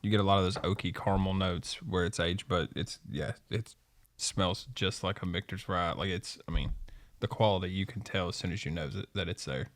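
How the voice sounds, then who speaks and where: A man in a casual tasting chat in a podcast studio, speaking softly and haltingly. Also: sits low, steady tone, no room sound. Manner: soft-spoken, halting.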